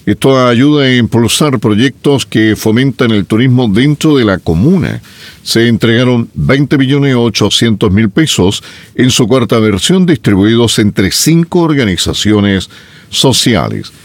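A man's voice: medium pitch, dry recording. A man with a Chilean accent presenting the local morning news on radio, reading items and adding folksy, chatty asides.

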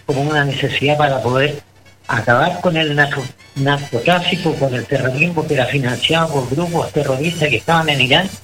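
A man's voice: fairly high pitch, brisk, fairly bright tone, soft background music, poor audio. A man with a Chilean accent co-hosting a radio talk show, giving long opinionated commentary with many restarts. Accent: Chilean Spanish. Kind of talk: casual political talk on radio.

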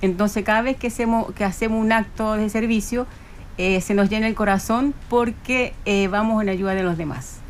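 A woman's voice: medium pitch, normal speed. A woman with a Chilean accent talking conversationally as a club guest in a radio interview. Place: radio studio. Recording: faint background noise.

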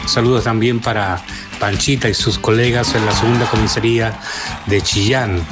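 A man's voice: lowish voice, fairly even intonation, over loud music. A Mexican man calling in to a radio show, sending long greetings, his throat a little sore. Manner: warm, unhurried, listing greetings. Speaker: caller. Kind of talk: phoned-in greetings.

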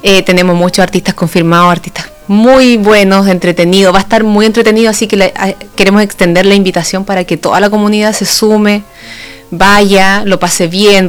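A woman's voice: medium pitch, clear, resonant tone. A woman from Chile with a Chilean accent, speaking as a guest in a casual radio interview. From Santa Cruz, Chile. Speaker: guest, folk ballet dancer.

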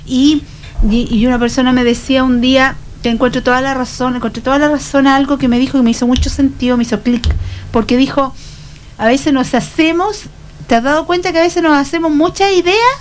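A woman with a Chilean accent talks in a notably high voice. She is chatty and conversational, explaining her points through small imagined dialogues, as a panelist in an informal discussion would. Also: fairly even intonation, brisk, a little hiss or hum. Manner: chatty, illustrates points with acted-out everyday dialogues.

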